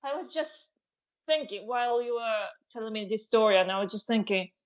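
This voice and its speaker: A woman whose first language is Japanese, chatting on a podcast as a comedian guest, halting and laughing often. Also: on the high side, lively intonation, muffled recording. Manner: hesitant, halting phrasing with frequent laughs. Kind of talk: casual podcast chat.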